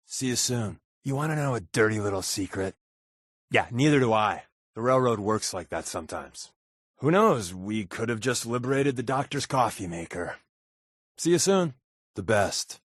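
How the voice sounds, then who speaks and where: A man playing a wry, sarcastic spy companion in a video game, with a deadpan, flippant delivery. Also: mid-range voice, moderate pace, dry recording, studio quality, natural voice.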